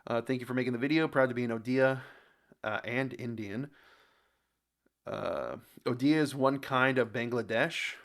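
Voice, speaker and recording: A man with an American accent hosting a reaction video in casual commentary, a bit halting and stumbling over words as he reads aloud. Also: medium pitch, vocal fry, fairly bright tone. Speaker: host of a reaction channel.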